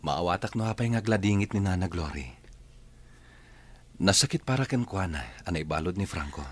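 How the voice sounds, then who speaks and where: A calm, supportive husband in a radio drama, played by a man. His voice is deep, and he speaks in a measured, reassuring way. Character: calm, supportive husband and employer, Sir Edward. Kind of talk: radio drama dialogue in Ilocano.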